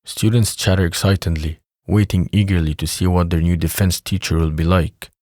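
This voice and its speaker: Male narrator, low voice, scripted storytelling, dry close sound. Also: fairly even intonation, a little fast, darkish tone, no room sound. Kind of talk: scripted audio drama narration.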